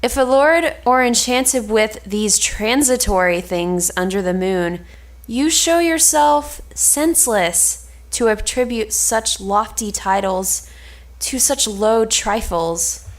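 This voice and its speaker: A woman's voice: on the high side, animated, takes it slowly. A woman playing a sensible, reproving male friend in a stage play, reading formal archaic lines. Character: sensible, scolding male friend of a lovesick nobleman.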